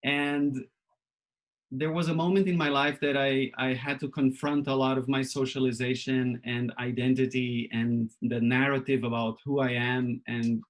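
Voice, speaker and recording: A man from Israel speaks in a reflective, personal way at a workshop, with a voice of middling pitch. He talks thoughtfully, searching for words, with frequent pauses and restarts. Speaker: workshop presenter.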